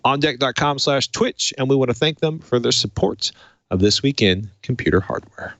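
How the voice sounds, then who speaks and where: A man's voice: mid-range voice, expressive, normal speed, a bit bright, no echo, highs cut off. A man hosting a tech podcast, talking through hardware and reading in a presenter's way. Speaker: podcast host. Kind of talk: tech podcast talk and host-read sponsor spots.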